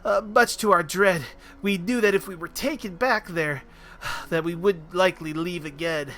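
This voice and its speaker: A man's voice: high voice, normal speed, a bit bright. A man voicing a small, cheerful male airship mechanic, telling a story and tripping over words. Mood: recounting.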